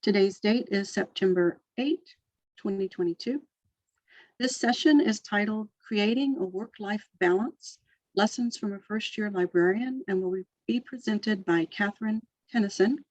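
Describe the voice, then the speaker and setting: A woman convener reading scripted opening announcements for a conference session in a formal, even manner. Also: mid-range voice, measured pace, no room sound, highs cut off.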